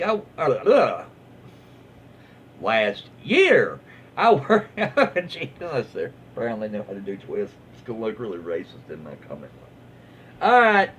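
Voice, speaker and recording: A man with a Southern US accent and a husky voice reading online posts aloud in a casual, comedic, easily amused way. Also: medium pitch, slight background noise.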